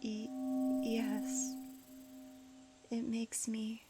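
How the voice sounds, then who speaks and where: Woman playing a very slow, dazed, entranced girl. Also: fairly high pitch, monotone, loud music behind the voice. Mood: dazed, devoted. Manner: hesitant, dazed, breathy murmuring. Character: submissive, vulnerable young woman entranced by serpent. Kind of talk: scripted fan audio drama acting.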